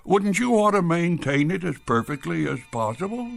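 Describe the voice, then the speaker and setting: A witty, creaky-voiced old man. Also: on the high side, very animated, moderate pace. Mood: wry, defensive. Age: older. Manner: wry, evasive, answering questions with questions. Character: witty, stubborn old man devoted to his heirloom clock. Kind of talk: radio drama acting.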